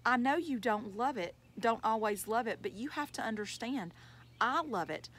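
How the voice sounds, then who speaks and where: Doting, easygoing father in a storybook, read by a woman, coaxing manner, middle female pitch, slight noise. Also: lively intonation, fairly quick, a bit bright, lightly acted. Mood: tender, pleading.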